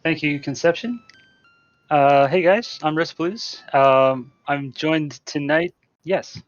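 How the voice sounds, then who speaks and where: Halting man on a dull mic. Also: fairly high pitch, dry recording. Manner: halting, hesitant delivery with repeated words. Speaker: speedrunner. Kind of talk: casual live gameplay commentary. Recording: dull lo-fi mic, faint music.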